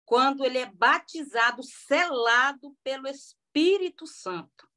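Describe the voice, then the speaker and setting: A woman's voice: high voice, a little slow, bright, forceful tone. A woman in her forties, a podcast guest, sharing a faith testimony in a hesitant, heartfelt way with many restarts. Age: 40s. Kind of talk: testimony in a casual interview.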